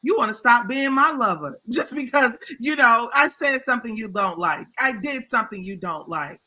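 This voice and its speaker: A woman's voice: on the high side, lively intonation, normal speed, sharp tone. A woman talking passionately in a casual discussion, getting heated as she makes her points. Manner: passionate, impassioned.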